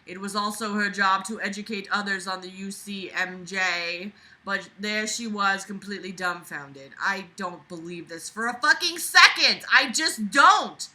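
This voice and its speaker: A woman's voice: medium pitch, measured pace, few pauses, sharp tone, slight background noise, low-quality recording. A woman reading a book aloud and breaking in with exasperated, sarcastic, swearing commentary in a theatrical way. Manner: sarcastic, scornful, swearing freely. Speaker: reader reviewing a book aloud.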